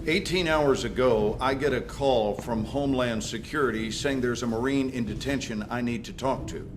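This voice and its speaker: An actor playing a male government interrogator who speaks in a measured, dry and pressing way. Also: mid-range voice, noisy background, natural voice. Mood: cool.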